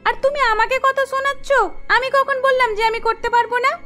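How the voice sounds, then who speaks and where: Woman putting on a very high, petulant cartoon girl. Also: fairly even intonation, brisk, pushed and bright, faint music behind. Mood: indignant. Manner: whiny, indignant, pert. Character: petulant, bickering young twin sister, college girl. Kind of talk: cartoon story character acting.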